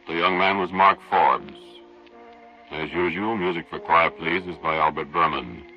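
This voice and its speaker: Deep gravelly radio host. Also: male voice, unhurried, dull audio, faint music behind. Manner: smooth, intimate, formal. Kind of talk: old-time radio sign-off.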